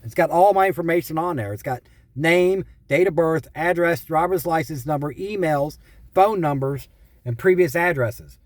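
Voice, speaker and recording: Male caller, slightly high-pitched and dry-sounding, complaining conversationally and retelling exchanges with some exasperation. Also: dry recording. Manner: repeats himself, quotes past exchanges, exasperated complaining. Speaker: caller reporting identity theft and scam calls. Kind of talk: casual phone conversation, telling anecdotes.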